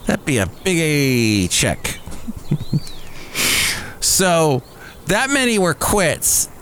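A man's voice: fairly high pitch, wildly swooping pitch, extremely slow, fairly bright tone. A middle-aged American man hosting a podcast alone, talking casually in a rambling, jokey way with self-mocking asides. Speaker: podcast host. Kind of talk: casual solo podcast monologue. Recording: clearly audible background noise.

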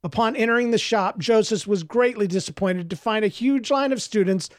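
High-voiced male storyteller, very dry sound. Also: a little fast, dry recording. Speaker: author narrating his story, interviewee. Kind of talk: audiobook narration and reflective interview answers.

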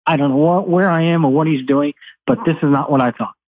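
A man's voice: fairly high pitch, fast talker. A man hosting a radio show, joking and teasing before interviewing his guest. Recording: tinny phone-like dry audio.

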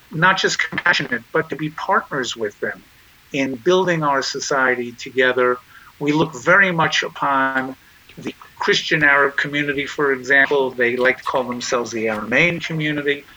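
A man speaking as a guest in an interview, answering in a measured, deliberate way with frequent pauses and restarts. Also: a little high, bright, forceful tone, poor audio. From Israel. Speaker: guest, nonprofit chairman.

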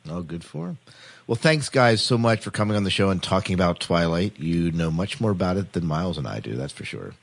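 Very deep-voiced man hosting a casual podcast. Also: expressive, brisk, gravelly. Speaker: podcast host. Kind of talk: casual discussion.

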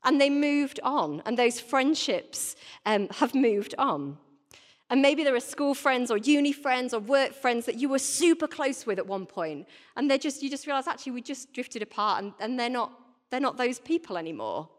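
A woman's voice: high voice, animated, normal speed. A woman in her thirties gives an informal, conversational sermon as a church speaker, often putting rhetorical questions to her listeners. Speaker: church warden giving a talk. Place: large indoor church. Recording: reverberant hall, live talk.

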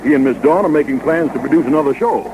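An actor playing a dutiful, respectful yacht skipper in 1930s radio drama style, brisk and concerned, on a noisy old broadcast. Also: male voice, mid-range voice, close to an everyday voice. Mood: matter-of-fact concern.

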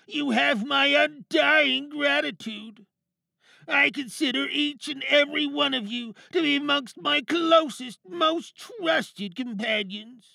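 High-pitched, pompous villainous leader. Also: male voice, vocal fry, bright, forceful tone, no echo, full character voice. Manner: theatrical, pompous, menacing oratory. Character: grandiose, paranoid villainous cult-like leader addressing followers. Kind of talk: dramatic audio theatre monologue.

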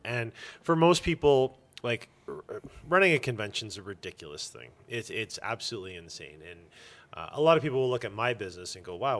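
A man's voice: on the low side, fairly bright tone. A man giving long, emphatic explanations as a guest in a casual interview. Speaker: interview guest, comic shop owner.

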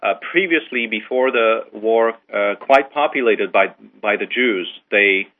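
A man from Poland, a guest on a talk radio show, who answers in a measured, hesitant way with many fillers. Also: lowish voice. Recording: dull lo-fi radio broadcast.

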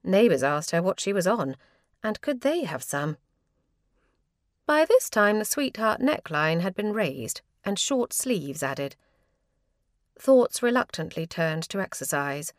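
A woman narrating a comic short story in a wry, arch, expressive manner. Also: lowish voice, dry recording, studio quality. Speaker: story reader.

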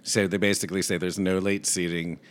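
A soft-voiced man co-hosting a talk show, telling an animated anecdote and mimicking the people in it. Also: low-pitched, fairly quick. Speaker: podcast co-host. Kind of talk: casual storytelling chat.

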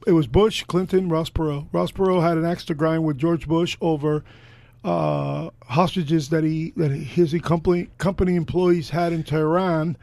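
Older male co-host, hoarse and gravelly, highish voice, bombastic and argumentative, dry close sound. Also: steady tone, moderate pace, darkish tone, dry recording. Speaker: podcast co-host. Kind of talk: casual political chat.

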